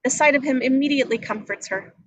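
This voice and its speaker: A woman's voice: sits high, fast, a bit bright, dry recording, dull audio. A woman reading scripted action descriptions as narrator at a live table read.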